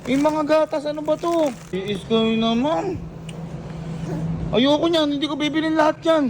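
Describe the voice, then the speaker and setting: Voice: high voice, flat delivery, fairly quick. A speaker with a Filipino accent chatting casually in an exasperated, complaining way and often repeating phrases. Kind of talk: casual chat. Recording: noisy on-location recording with traffic.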